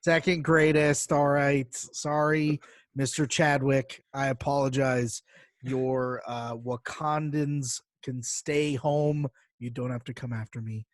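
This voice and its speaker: A man's voice: medium pitch, fairly even intonation, takes it slowly, dry recording. Dry-sounding man bantering on a podcast. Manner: joking, opinionated, playful repetition. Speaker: podcast host.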